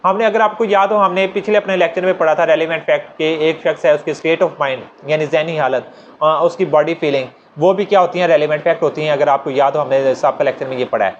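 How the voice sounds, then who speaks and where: A man's voice: on the high side, brisk. A man with a Pakistani accent giving an informal explanatory law lecture, conversational, repeating his points and walking through examples. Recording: dull lo-fi audio with noticeable noise.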